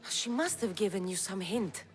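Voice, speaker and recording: Woman playing a tough mercenary, swinging, expressive pitch. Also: moderate pace, soft background music, natural voice. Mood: probing. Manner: cool, blunt, dryly confident. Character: tough, defiant young female mercenary of ancient Greece. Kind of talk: video game cutscene acting.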